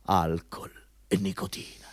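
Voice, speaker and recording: An actor playing a domineering, hypocritical company boss in a theatrical Italian radio drama, pompous and mocking in manner. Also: male voice, deep voice, flat delivery, unhurried. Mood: sly, indulgent. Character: domineering, hypocritical male company boss interviewing a job applicant.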